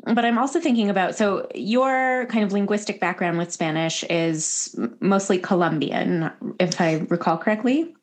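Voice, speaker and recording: A woman's voice: mid-range voice, moderate pace. A woman hosting a podcast, asking long, meandering questions full of ums and restarts. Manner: rambling, restarts, many ums. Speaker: podcast host. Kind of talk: conversational interview questions.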